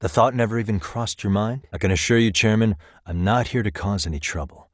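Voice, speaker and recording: An actor playing a calm, wry private detective in his thirties in a video game, speaking in a measured, conversational way. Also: lowish voice, dry recording, studio quality, close to an everyday voice. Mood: firm, confronting. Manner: measured, conversational, lightly sardonic. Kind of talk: naturalistic English video game voice acting.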